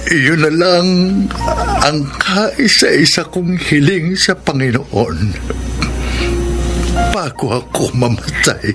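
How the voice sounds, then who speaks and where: An old Filipino grandfather full of regret. He pleads haltingly and with heavy emotion, slowly paced in radio drama style, with music playing underneath. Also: fairly high pitch, animated. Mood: anguished, pleading. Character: remorseful, pleading elderly grandfather begging forgiveness.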